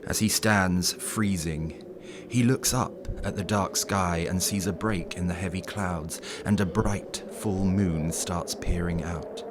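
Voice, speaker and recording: A man narrating a scripted horror story in a dramatic, deliberate storytelling manner. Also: low voice. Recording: clearly noisy background ambience.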